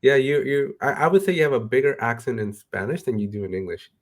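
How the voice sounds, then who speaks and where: A middle-aged man hosting an interview, relaxed and conversational, chuckling often. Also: mid-range voice, quick pace. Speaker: host. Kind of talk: casual interview chat.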